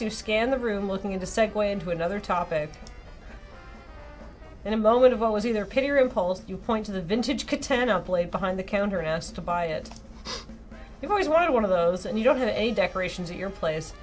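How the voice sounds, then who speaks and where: A woman's voice: medium pitch, lively intonation, a little fast, soft background music. A woman streamer playfully reading a game's dialogue aloud and chatting casually. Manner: playful, giggly.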